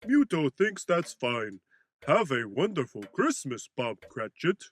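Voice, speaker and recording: A man's voice: fairly high pitch, big pitch swings, a little slow. A man voicing a naive, cheerful, sweet-toothed creature who speaks of himself in the third person in a comedic audio drama. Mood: cheerful, greedy glee.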